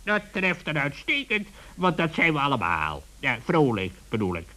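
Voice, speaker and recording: A man playing a sly, polite forest animal in a children's radio play, with measured, mock-humble courtesy. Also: fairly high pitch, wildly swooping pitch, sharp tone, heavily acted. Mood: dry, polite.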